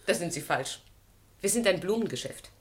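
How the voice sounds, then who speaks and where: A woman's voice with lively, swooping intonation. She is a brusque shop assistant in a radio drama, dismissive and quick to take offence. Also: on the low side, slightly rough. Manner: snippy, dismissive. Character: brusque, indignant female flower shop assistant. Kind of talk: German radio play dialogue.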